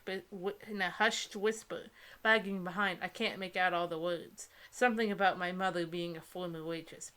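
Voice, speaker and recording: A woman with a mid-range voice reads and chats casually as a co-host. Her manner is animated, giggly and sarcastic, sliding between mock drama and offhand banter. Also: medium pitch. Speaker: co-host of a comedy book-reading video.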